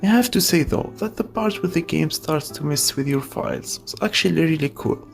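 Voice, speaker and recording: A man's voice: on the high side, normal speed, creaky, soft background music. A man narrating a scripted video essay, giving opinionated reviews of games.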